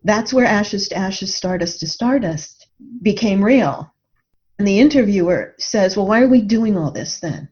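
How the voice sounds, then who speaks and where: A woman's voice: medium pitch, animated, unhurried, darkish tone. Reflective woman on a dull lo-fi recording. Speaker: podcast guest, writer and coach. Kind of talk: reflective interview talk. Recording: dull lo-fi audio.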